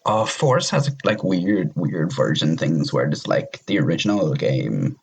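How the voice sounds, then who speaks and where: A man's voice: very deep voice, very animated, a little slow, vocal fry, a little mellow, slightly rough. A man chatting casually as a podcast co-host, rambling and hesitant, with casual swearing. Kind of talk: casual chat about visual novels.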